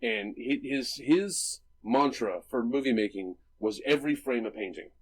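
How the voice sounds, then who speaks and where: A man's voice: medium pitch, animated, moderate pace, husky. A man co-hosting a movie podcast, explaining film visuals enthusiastically in casual chat, with frequent restarts and tag questions. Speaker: podcast co-host.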